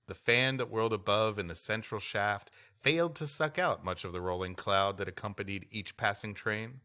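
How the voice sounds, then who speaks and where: A man's voice: on the low side, normal speed, pushed and bright. A man co-hosting a podcast, mixing read-aloud history with wry, rambling banter. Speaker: podcast co-host. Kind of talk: reading a history story aloud with joking banter.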